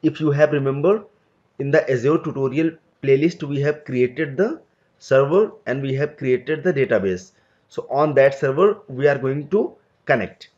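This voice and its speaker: A man explaining a cloud software tutorial step by step over a screen recording, in loose, conversational phrasing. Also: medium pitch, expressive, normal speed, lo-fi sound. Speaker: tutorial instructor.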